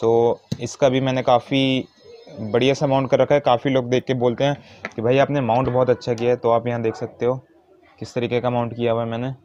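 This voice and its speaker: A man's voice: medium pitch, level tone, a little fast, a little mellow, a little hiss or hum. A man giving an informal hands-on tutorial step by step, mixing in English words. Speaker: motovlogger giving a how-to demonstration. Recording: dull, muffled lo-fi sound.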